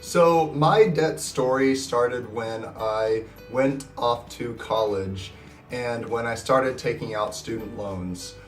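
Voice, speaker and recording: A man's voice: mid-range voice, takes it slowly. A man in his twenties, sick and talking with a nasal, scratchy voice, in an upbeat, rambling way as he tells his story in a casual vlog. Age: 20s. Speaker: personal finance vlogger. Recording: roomy echo, distant mic, faint guitar music.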